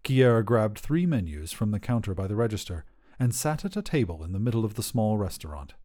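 A man's voice: on the low side, big pitch swings, fairly quick, dark tone. A man reading a scripted short story as a podcast narrator.

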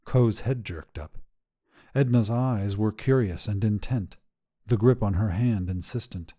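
A man reads literary fiction aloud as a narrator. His voice is mellow and soft-edged, and he takes his time, shaping each phrase with measured storytelling drama. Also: on the low side, slightly rough. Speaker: narrator reading short fiction.

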